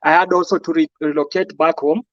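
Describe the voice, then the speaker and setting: A Kenyan man from Nairobi answering interview questions in a calm, humble, soft-spoken way. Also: on the high side, brisk, no room sound, lo-fi sound. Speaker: guest.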